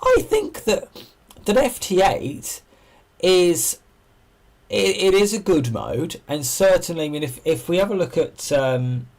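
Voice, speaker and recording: A man's voice: sits high, sing-song, unhurried, a little mellow. A man hosting an amateur radio livestream, chatting casually and thinking aloud as he explains things and answers viewers.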